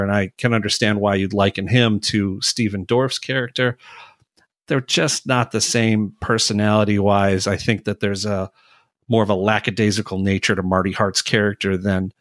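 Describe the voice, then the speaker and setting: Lowish male podcaster, very dry sound. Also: dry recording. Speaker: podcast host. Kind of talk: casual podcast review discussion.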